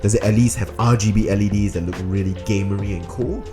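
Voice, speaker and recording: A man with a low, booming voice that is a bit hoarse talks through a gear review with dry sarcasm. He sounds tired late at night, speaking close to a condenser mic in an echoey room while music plays clearly behind him. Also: fairly even intonation, quick pace. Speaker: tech reviewer. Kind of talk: casual product review. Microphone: USB condenser microphone close-up.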